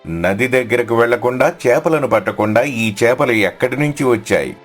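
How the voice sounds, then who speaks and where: A man's voice: medium pitch, expressive, moderate pace, soft background music, lightly acted. A man playing a haughty, bullying village fisherman in scripted story dialogue. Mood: angry, accusing.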